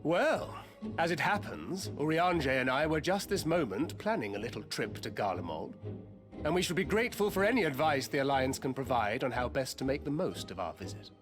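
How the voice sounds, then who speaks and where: A man's voice: mid-range voice, animated, brisk, natural voice. An actor playing a polite, articulate young male scholar and adventurer in a fantasy game, speaking with formal courtesy. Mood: courteous, dry. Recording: music clearly behind the voice. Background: game music.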